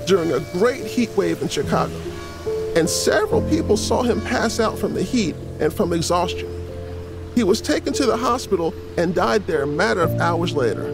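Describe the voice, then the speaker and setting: Kindly teacher telling kids stories, high lively voice, audio drama, earnest. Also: male voice, creaky, exaggerated voice. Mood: solemn. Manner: explanatory, earnest. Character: adult storyteller teaching children, kindly and earnest. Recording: loud music behind the voice.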